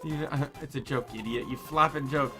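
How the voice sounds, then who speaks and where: A man's voice: mid-range voice, normal speed, pushed and bright, faint music behind, close to an everyday voice. A man voicing a bossy, teasing young fairy girl who styles herself a queen in a video game, with slangy speech. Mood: teasing, impatient.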